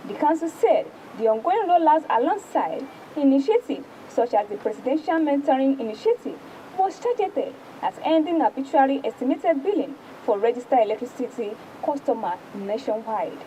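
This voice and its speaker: A Nigerian woman presents a newspaper review in a high, mellow voice. Her formal reading swings up and down in pitch and sometimes stumbles, with a faint rumble of noise behind it. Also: animated, moderate pace, rough recording. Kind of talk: news reading and paper review.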